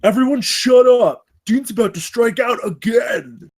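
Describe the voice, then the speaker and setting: A man voicing a shouty, dejected baseball spectator in a comedy script read. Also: very high voice, a little slow, slightly rough, voice pushed up, heavily acted. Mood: mocking, shouting.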